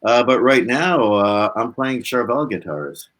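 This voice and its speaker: A man's voice: medium pitch, expressive, unhurried, a bit bright. A man, chatting informally as an interview guest and rambling through his stories. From Canada. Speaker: guest, blues musician. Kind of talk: casual interview chat.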